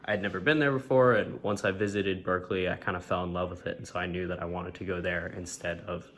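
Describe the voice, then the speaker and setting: A young man from Maryland, a college student, talking about leaving home in a relaxed, easygoing way. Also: deep voice, quick pace. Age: young adult.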